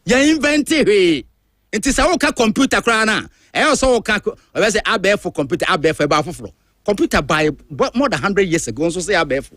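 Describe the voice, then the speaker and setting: High-voiced Ghanaian radio host, dry and close. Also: male voice, fairly quick, no echo. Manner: emphatic, animated, switches between languages. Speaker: radio drive-show host. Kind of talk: opinionated talk-radio monologue. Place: radio studio.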